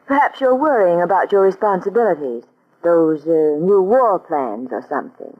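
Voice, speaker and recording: A woman's voice: lowish voice, animated, moderate pace. A glamorous femme fatale in a vintage radio play, coy and teasing. Her voice glides widely up and down in a playful, coquettish way. The old broadcast sound is thin and tinny, like a phone line. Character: glamorous young woman spy, coy, teasing. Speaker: actress in radio drama. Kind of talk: 1940s radio acting.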